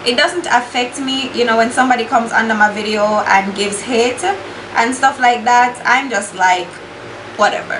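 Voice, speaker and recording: A woman's voice: on the high side, moderate pace. A young Jamaican woman with a Jamaican accent, chatting bluntly while doing her makeup, swearing freely and slipping into patois. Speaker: beauty YouTuber. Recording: echoey room with steady background hiss.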